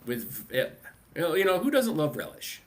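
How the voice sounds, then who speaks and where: Slightly high-voiced male panel host. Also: huge pitch swings, normal speed. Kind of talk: casual panel hosting.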